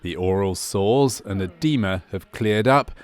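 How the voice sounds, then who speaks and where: A man reading scripted narration for a medical training film in a measured, clinical way. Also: lowish voice, clean tone.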